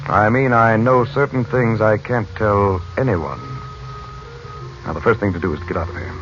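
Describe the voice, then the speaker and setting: A muffled old radio recording with music. A man acts a middle-aged adventure hero, low in pitch, grave and protective, speaking with measured authority. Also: fairly bright tone, natural voice. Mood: urgent, guarded. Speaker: actor in a radio adventure serial. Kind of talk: 1940s radio drama acting. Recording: muffled vintage 1940s radio, music behind.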